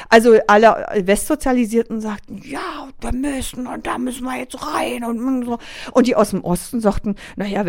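A woman's voice: mid-range voice, a little mellow, no echo, clean studio sound. A middle-aged woman from East Berlin with a Berlin accent, a journalist recalling her career in a radio interview, talking with many fillers and restarts. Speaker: journalist guest. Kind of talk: radio interview, personal recollection.

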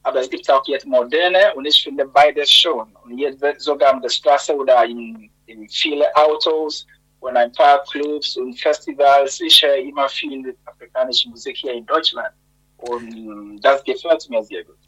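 A man in his thirties from Ghana, a musician talking as a radio interview guest, relaxed and repeating words as he speaks German with slips. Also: medium pitch, measured pace. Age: 30s. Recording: dull lo-fi sound.

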